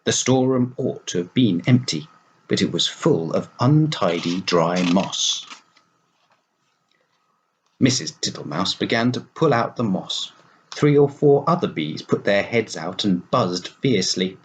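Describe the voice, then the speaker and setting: A male storyteller reads aloud for children. His voice sits a little low and moves with lots of melody in an animated narrating style. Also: measured pace, slight background noise, poor audio.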